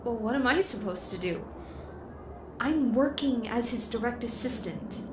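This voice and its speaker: A woman's voice: a little high, lively intonation, measured pace, noisy background. A woman playing a wary, outspoken assistant in a sci-fi audio drama, blunt and questioning. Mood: alarmed. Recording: echoey, clear music behind.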